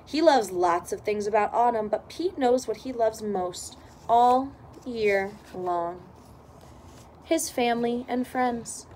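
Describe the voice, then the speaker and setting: A woman reading a children's storybook aloud in expressive narration. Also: a little high, slow pace, a little hiss or hum. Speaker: storybook reader.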